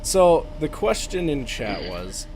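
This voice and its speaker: A man's voice: a little high, sing-song, slow, darkish tone, a little hiss or hum, low-quality recording. A man hosting a game stream in casual chat, teasing his friends and laughing often. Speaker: streamer host.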